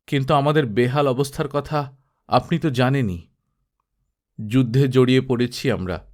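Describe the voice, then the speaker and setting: A man voicing a pompous, bloodthirsty old high priest who pleads in loud ceremonial tones. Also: close to an everyday voice.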